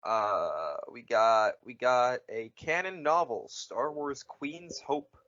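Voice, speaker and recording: A man co-hosting a casual podcast, halting and correcting himself as he goes. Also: medium pitch, animated, a bit bright, no room sound, dull audio. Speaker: podcast co-host. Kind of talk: casual chat.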